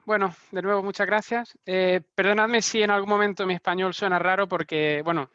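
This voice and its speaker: A man's voice: sits high, steady tone, brisk, bright, forceful tone, clear, resonant tone, no echo. Man, 30s, Spanish accent, hesitant, dry muffled call audio. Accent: Spanish (Spain). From Argentina, raised in Spain. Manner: hesitant, many restarts and fillers. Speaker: guest speaker. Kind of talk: casual online talk. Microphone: video call.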